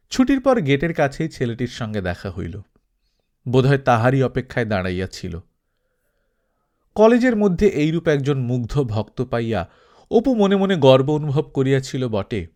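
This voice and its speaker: A man's voice: mid-range voice, darkish tone. Man narrating a literary story. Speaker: audiobook narrator. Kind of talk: scripted literary narration with verse recitation.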